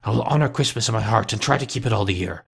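A man's voice: lowish voice, racing, vocal fry, husky, exaggerated voice. A man playing an old reformed miser in a storybook reading, speaking fervently in repeated exclamations. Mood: desperate pleading.

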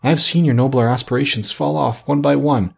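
A man reading an audiobook, voicing a gentle, sorrowful young woman who speaks with earnest, measured reproach. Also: medium pitch, steady tone, creaky, slightly rough, voice pushed up.

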